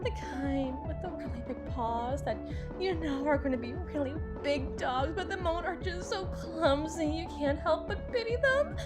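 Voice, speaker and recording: A woman's voice: high voice, animated, normal speed, loud music behind the voice. A woman performing an ASMR roleplay as a drunken, teasing, domineering female boss, rambling and stumbling over her words. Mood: amused, boastful.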